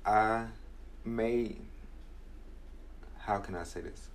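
Slow, earnest young man. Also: on the low side, fairly even intonation, a little hiss or hum, rough recording. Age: 20s. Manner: earnest, measured, reflective. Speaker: podcast host. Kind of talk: motivational personal talk.

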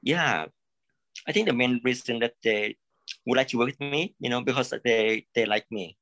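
A man from Thailand, a creative consultant, speaking in a halting way, repeating words and saying "you know" often. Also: medium pitch, a bit bright, no echo. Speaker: coaching client, creative consultant. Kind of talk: live coaching session.

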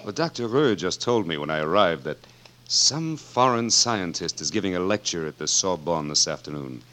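An actor playing a courteous, shrewdly goading doctor in a 1940s radio drama, with a polite, theatrical, suggestive manner. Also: male voice, low voice, lively intonation, moderate pace, fairly bright tone. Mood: courteous, coaxing.

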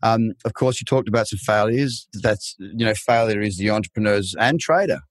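A man's voice: lowish voice, normal speed, dry recording. A man hosting an interview, asking long encouraging questions in a chatty, rambling way. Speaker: podcast host.

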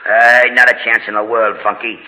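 An actor playing a wry, world-weary jailbird who talks in slang, in 1940s radio comedy style. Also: male voice, lowish voice, little pitch movement, normal speed, pushed and bright. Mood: resigned, wry. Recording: tinny, faintly hissy old radio recording.

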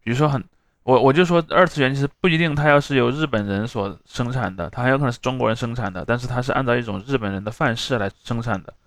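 Guy on a podcast explaining stuff step by step, talks fast, really dry recording no room sound. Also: mid-range voice, no echo. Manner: explains step by step, rephrasing and defining terms. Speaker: podcast co-host. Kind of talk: casual explanatory discussion.

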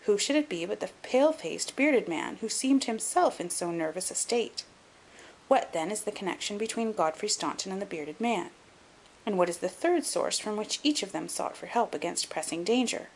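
A woman voicing a shrewd, composed gentleman detective in an audiobook, measured, articulate and dryly superior. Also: mid-range voice, lively intonation, slight background noise, natural voice, low-quality recording. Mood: deductive, intent. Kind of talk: audiobook character acting.

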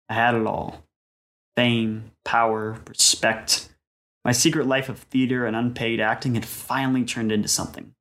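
A man's voice: mid-range voice, fairly bright tone, lightly acted. A man playing a jaded young Italian-American mobster who dreams of acting, telling his story as a wry dramatic monologue. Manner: wry, world-weary storytelling with wiseguy slang. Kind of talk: dramatic scripted first-person monologue.

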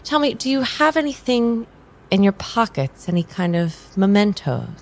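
A woman playing a calm, enigmatic tarot reader in an improvised audio drama, speaking in a measured, soothing and formal way. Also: medium pitch. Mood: reassuring. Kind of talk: improvised radio drama acting. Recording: faint background ambience noise.

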